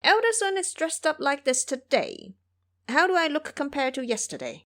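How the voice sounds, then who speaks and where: A woman voicing a brash, boastful, ancient male monkey sage in an animated audio drama. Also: high-pitched, moderate pace, voice pushed up, heavily acted. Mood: pleased, showing off.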